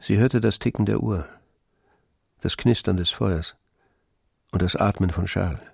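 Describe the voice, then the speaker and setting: A man narrating a literary radio play from a script in a measured, solemn manner. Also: lowish voice, creaky, breathy edge, no room sound, clean studio sound.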